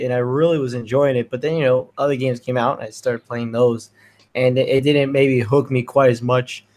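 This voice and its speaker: A man with a medium-pitched voice chats as a podcast panelist. His talk is informal and conversational, with casual swearing thrown in. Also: darkish tone. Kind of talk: casual chat.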